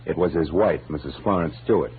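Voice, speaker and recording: A man's voice: very deep voice, fairly quick, a little hiss or hum. An actor playing a wry, sardonic private eye in an old radio detective drama, with clipped tough-guy delivery. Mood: wry, assured. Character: hard-boiled male private eye, wry, unflappable narrator. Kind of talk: 1940s radio detective drama narration and dialogue. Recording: dull, lo-fi old radio recording, music behind.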